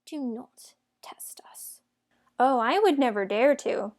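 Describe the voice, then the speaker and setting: A woman's voice: fairly high pitch, expressive, takes it slowly, a little mellow. A woman playing a haughty, commanding and indignant villainous queen in an audio drama. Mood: cold menace.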